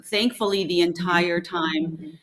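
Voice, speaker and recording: Guest spot: woman raised in Mexico, Spanish first language, sharp bright tone, animated teacher telling stories. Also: on the low side, slow pace. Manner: assertive, storytelling, acts out classroom exchanges. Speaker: high school French teacher, guest. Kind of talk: casual conversational interview.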